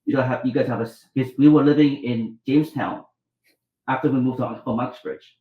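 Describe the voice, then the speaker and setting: A man's voice: medium pitch, fairly even intonation, brisk, echoey room, narrow, tinny audio. A middle-aged man from Vietnam, a doctor and author telling his life story as an interview guest, with many restarts, acting out the dialogue. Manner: rambling, restarts, acts out quoted dialogue.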